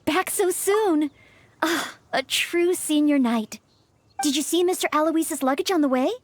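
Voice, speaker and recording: An actress playing an earnest young maid in a game, polite, caring and dutiful in manner. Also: female voice, sits high, measured pace. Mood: polite, concerned. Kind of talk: animated game voice acting. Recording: music behind the voice. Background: game music.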